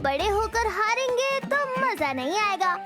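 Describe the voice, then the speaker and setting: A woman's voice: extremely high pitch, sing-song, a little slow, over loud music, exaggerated voice. A woman voicing a cocky, mischievous little boy in a children's cartoon, brash and singsong. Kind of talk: exaggerated children's cartoon acting.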